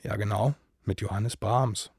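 A man's voice: fairly low pitch, fairly even intonation, unhurried, darkish tone, husky, no room sound, clean studio sound. A man reading scripted radio narration.

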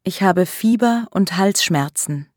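Slow, careful woman teaching phrases. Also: medium pitch, expressive, a little mellow, no echo, studio quality. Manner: clear, deliberate enunciation. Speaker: language course speaker. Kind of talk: slow scripted language drill.

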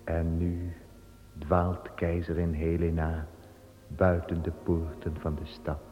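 A man narrating a radio drama in a measured storytelling manner. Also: deep voice, little pitch movement. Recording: reverberant dull recording with music.

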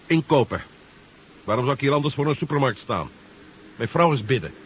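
Casting: male actor as cheeky, wry adventure hero, animated delivery, old radio serial, dull sound. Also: mid-range voice, fairly quick, natural voice. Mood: cool, cheeky. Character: bold, wry adventurer hero, adult man. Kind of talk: 1970s Dutch radio drama acting. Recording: dull, noisy 1970s radio recording.

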